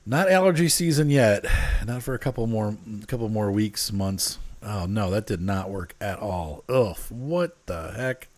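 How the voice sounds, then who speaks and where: A man's voice: fairly low pitch, lively intonation, a little slow, hardly any pauses, a little mellow. A man who sounds a little congested and nasal, chatting casually at home into a desk mic while he plays a train game, muttering to himself as he works. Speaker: streamer playing a train game. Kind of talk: casual gameplay chat. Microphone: desk microphone turned up.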